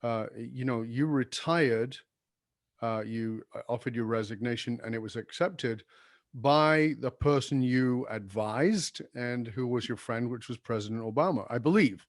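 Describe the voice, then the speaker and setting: A man's voice: mid-range voice, measured pace, no room sound. Hesitant male host, dry sound. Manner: searching and hesitant, many ums and self-corrections. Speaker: podcast host. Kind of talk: interview conversation.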